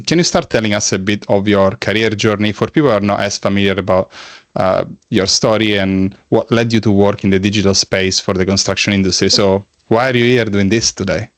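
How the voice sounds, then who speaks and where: A man's voice: fairly low pitch, no room sound. A man from Italy with an Italian accent, hosting a podcast interview with enthusiastic, friendly questions. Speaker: podcast host. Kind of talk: casual interview chat.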